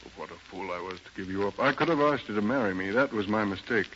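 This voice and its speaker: A man's voice: lowish voice, expressive, a bit bright. An actor playing an ailing, despairing older man pleading melodramatically in a 1930s radio drama. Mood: wistful, desperate. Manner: melodramatic, halting, imploring. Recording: muffled, noisy 1930s radio recording.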